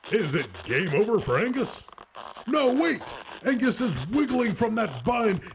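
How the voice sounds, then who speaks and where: A man doing dramatic, animated scripted play-by-play of a fight. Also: high voice, unhurried, creaky, slight background noise. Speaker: fight commentator.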